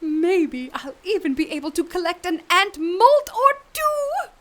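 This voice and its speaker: A high, bright and lively voice of a quirky lady naturalist, played by a woman. She rambles cheerfully and blurts out strange remarks as if they were ordinary, swinging her pitch widely as she goes. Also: a little slow, full character voice. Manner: chirpy, rambling, says odd things matter-of-factly. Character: eccentric cheerful woman naturalist, odd and blunt. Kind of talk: audio drama acting.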